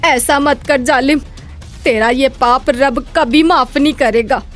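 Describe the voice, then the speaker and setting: A woman voicing a fearless, defiant young woman with a bold, emotional, dramatic manner in an animated moral story. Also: sits high, slow pace, soft background music. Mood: pleading.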